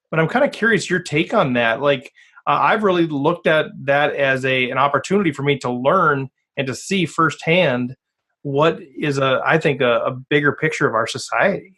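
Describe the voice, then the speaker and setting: A man's voice: on the high side, moderate pace, sharp tone. A man hosting a podcast from Minnesota, speaking earnestly and candidly in a loose conversational way. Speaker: podcast host.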